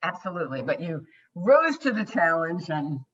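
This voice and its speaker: A woman moderating a talkback over a video call, chatty and quick to affirm the actors. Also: fairly low pitch, sing-song, fairly bright tone, breathy edge, dull audio. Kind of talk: casual panel talk on a video call.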